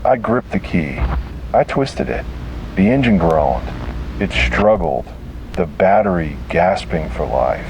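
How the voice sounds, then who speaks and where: A man's voice: low voice, animated, slow pace, background noise. A man reading a scripted first-person horror story in a grave, dramatic way.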